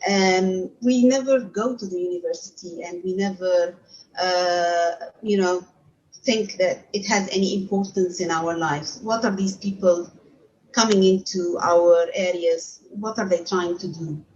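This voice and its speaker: A woman's voice: lowish voice, fairly even intonation, unhurried, darkish tone. A woman in her thirties from Egypt, a native Arabic speaker, giving a talk as a university researcher from her home over a video call, with frequent fillers and restarts. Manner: hesitant, many filler sounds and restarts. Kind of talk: remote talk to an audience. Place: home room, seated in armchair. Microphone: webcam on video call. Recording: slightly dull lo-fi sound.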